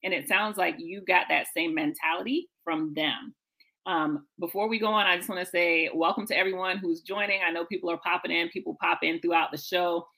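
A woman's voice: lowish voice, fairly even intonation, a little fast, vocal fry, sharp tone, rough recording. An American woman hosting a live interview show, affirming and recapping what her guest has shared before asking more.

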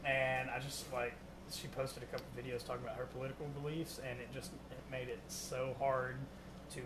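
Loud crude young man on a noisy recording. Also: mid-range voice, fairly quick. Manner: loud, laughing, crude and excitable. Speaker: podcast co-host. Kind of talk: crude casual banter.

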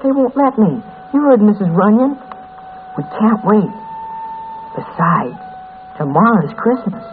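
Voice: mid-range voice, sing-song, measured pace, long pauses. A child-voiced actor playing an earnest, responsible orphan boy pleading anxiously, in old-time radio drama style. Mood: determined, urgent. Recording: old scratchy narrow-band radio recording.

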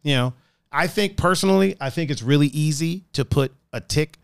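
A man hosting a casual chat show with a raspy voice, talking in a laid-back, profane, joking way and reading out chat comments. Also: a little high, moderate pace, no room sound, clean studio sound. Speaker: podcast host.

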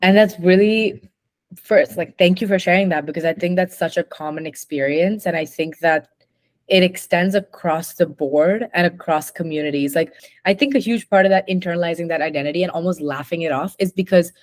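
A young woman from India with a softened Indian English accent, a podcast host talking in an earnest, engaged way. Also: fairly low pitch, fairly quick, no room sound. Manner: earnest, engaged, lots of likes. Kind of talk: conversational interview.

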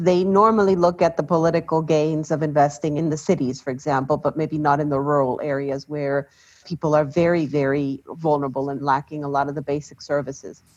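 Husky, measured woman, muffled recording. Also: lowish voice. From Ecuador. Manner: measured, deliberate, trailing off softly. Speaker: panel speaker, development expert. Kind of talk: panel discussion. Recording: dull lo-fi sound.

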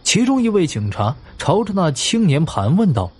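A man's voice: high-pitched, big pitch swings, normal speed, a little mellow, husky. A man narrating a mystery story in a dramatic, scripted audio drama style.

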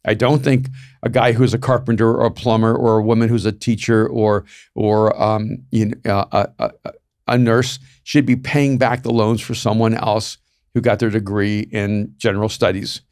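A man's voice: fairly low pitch, level tone, moderate pace, darkish tone. Emphatic man debating on a panel. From El Salvador. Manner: emphatic, repeats points. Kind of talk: opinionated panel discussion.

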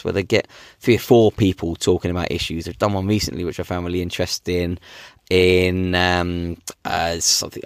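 Man, hoarse with a cold, low voice, dry close sound, chatty podcast host. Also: dry recording. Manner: chatty and rambling, many fillers. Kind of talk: casual chat.

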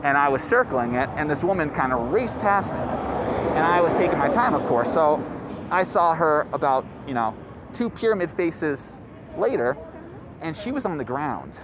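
A man's voice: a little high, normal speed. A man in his late twenties hosting a podcast, rambling casually and profanely through stories and notes. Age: late 20s. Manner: rambling, profane, animated storyteller. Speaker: podcast host. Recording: loud street traffic noise.

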